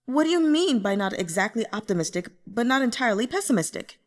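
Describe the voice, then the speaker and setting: A man's voice: very high-pitched, sing-song, quick pace, pitched above the performer's own voice, heavily acted. A woman voicing a humbled, eager male would-be author in an audiobook, hesitant and deferential. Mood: flustered. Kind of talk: audiobook character dialogue.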